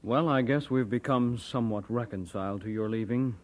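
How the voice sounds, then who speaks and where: A man's voice: medium pitch, brisk, close to an everyday voice. An actor playing a solemn, resigned but kindly churchman in an old-time radio drama.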